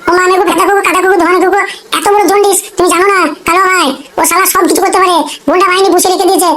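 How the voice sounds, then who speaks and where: A woman's voice: very high voice, flat delivery, racing, fairly bright tone. A woman voicing a distressed, poor mother-in-law in a comic cartoon, plaintive and wordy. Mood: aggrieved. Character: distressed, pleading poor mother-in-law begging a gangster for help. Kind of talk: amateur comic cartoon dialogue.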